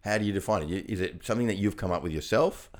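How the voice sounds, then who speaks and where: Deep-voiced male podcast co-host, relaxed and reflective. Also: racing. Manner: conversational, reflective, drops into quoted voices. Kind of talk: casual chat.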